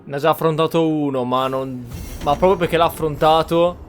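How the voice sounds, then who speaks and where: A man's voice: on the high side, steady tone, fast talker, faint music behind. An Italian-accented man giving roleplay commentary over a video game. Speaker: gaming channel host.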